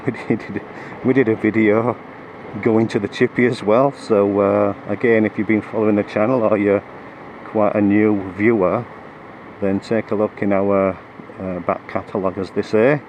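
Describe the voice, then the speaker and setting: A man with a low, level voice made croaky by a lingering cold talks while riding his motorbike. He rambles through the route with frequent ums and self-corrections. Steady engine and wind noise sit behind him. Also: moderate pace. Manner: hesitant, many fillers and restarts. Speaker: motorcycle vlogger. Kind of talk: rambling ride commentary. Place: on a motorcycle on the road.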